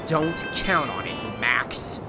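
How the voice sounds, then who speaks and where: An actor voicing a plucky young male raccoon adventurer in an audio drama, with an animated, dramatic delivery. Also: mid-range voice, slow pace, loud music behind the voice. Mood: wary. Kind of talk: audio drama narration and acting.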